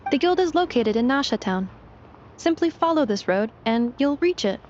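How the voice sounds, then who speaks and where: A woman playing a composed, knowledgeable guide in a video game, explaining things in a formal, measured way. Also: high voice, animated, darkish tone. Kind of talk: video game character dialogue. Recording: muffled game stream, faint noise.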